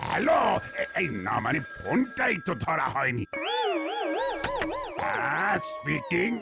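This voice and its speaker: A man's voice: a little high, huge pitch swings, a little slow, creaky, exaggerated voice. A man voicing a pompous, cowardly village police inspector in a cartoon, stammering and repeating words when flustered. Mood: flustered, servile. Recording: loud background music.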